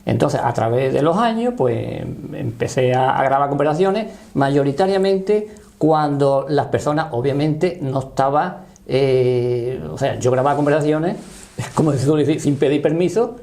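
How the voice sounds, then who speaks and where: A man in his sixties with a Spanish accent explains and recounts his experience in a halting, conversational way, often checking he is understood. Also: a little high, gravelly, darkish tone. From Spain. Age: 60s. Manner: halting, often seeking confirmation. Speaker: interview guest, paranormal voice researcher.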